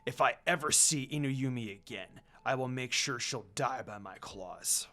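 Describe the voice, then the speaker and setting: A man voicing a cold, proud male dog demon lord who speaks low and threatening. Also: unhurried, sharp tone.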